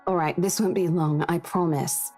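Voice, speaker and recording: An actress playing a courteous, busy real estate agent in a visual novel, composed and accommodating in manner. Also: female voice, lowish voice, steady tone, moderate pace, darkish tone, faint music behind, natural voice. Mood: assured.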